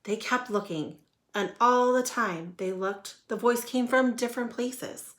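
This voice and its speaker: A woman's voice: fairly high pitch, a little slow. A woman reading a children's picture book aloud as a storyteller.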